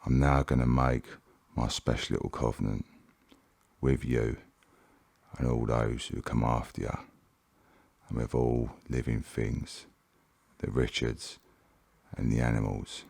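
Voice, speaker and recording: A man with a Cockney accent voicing God as a cheerful male deity, reading softly and unhurriedly in ASMR style. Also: extremely low pitch, level tone, a little slow, vocal fry, slightly rough, no room sound, full character voice. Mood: reassuring.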